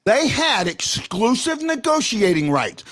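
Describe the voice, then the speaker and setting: A man hosting a fan talk show, loud and excitable, rambling and restarting his sentences as he argues his point. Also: very high voice, unhurried. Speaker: podcast host. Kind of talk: heated hockey fan call-in talk. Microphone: headphones.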